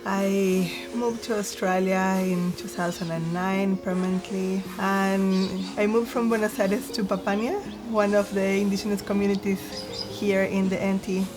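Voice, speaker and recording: A woman's voice: mid-range voice, fairly even intonation, moderate pace. A woman from Argentina, a textile artist, speaking earnestly and using many fillers. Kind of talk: reflective interview. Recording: music bed under voice.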